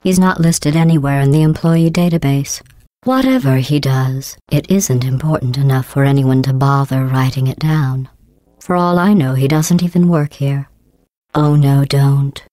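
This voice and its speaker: Cold sarcastic AI overseer, low. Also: female voice, moderate pace, dry recording, studio quality. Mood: menacing, mocking. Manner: deadpan, condescending, passive-aggressive. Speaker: video game character. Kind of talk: game voice clips.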